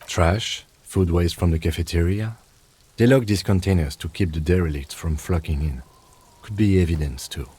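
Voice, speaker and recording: Calm game police lieutenant, low mellow voice, formal, matter-of-fact, dutiful. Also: male voice, moderate pace, breathy edge. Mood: calm, practical. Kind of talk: video game dialogue acting.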